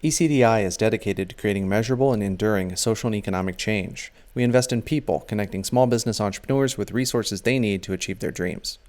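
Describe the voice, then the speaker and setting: A man's voice: fairly low pitch, fast, few pauses, darkish tone, soft background music. A man reading a scripted promotional sponsor message as an announcer.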